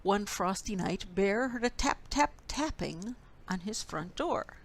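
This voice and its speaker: A woman storyteller reading a children's picture book expressively, with deliberate, dramatic phrasing. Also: mid-range voice, expressive.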